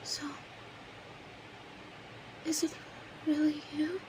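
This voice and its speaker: Woman acting a high-voiced obsessive yandere kidnapper. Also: little pitch movement, extremely slow, a little mellow, a little hiss or hum, poor audio. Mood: wary, hesitant. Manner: clingy, emotional, swings between breathy whispers and outbursts. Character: obsessive, unstable yandere kidnapper in her thirties, lovesick. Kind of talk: audio roleplay, intimate dramatic monologue.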